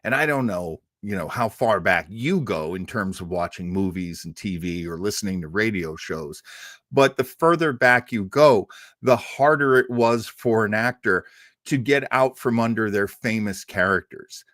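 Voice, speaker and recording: A man hosting a podcast, talking informally and haltingly to his audience in a chatty, self-deprecating way. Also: fairly low pitch, fairly bright tone, dry recording, studio quality. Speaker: host of an old-time radio podcast. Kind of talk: informal hosted monologue to a live stream audience.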